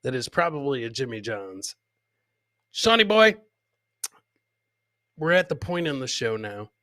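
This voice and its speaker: A man's voice: mid-range voice, sing-song, measured pace, sharp tone, no echo, studio quality. A man hosting a live comedy podcast, casually commenting on clips and chatting with viewers in crude, joking slang, often repeating phrases for emphasis.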